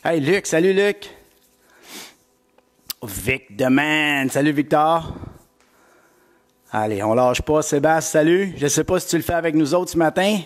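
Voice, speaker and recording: Upbeat Québécois fitness coach, slow delivery. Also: male voice, fairly high pitch. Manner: energetic, greets viewers by name. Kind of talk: live-streamed workout class.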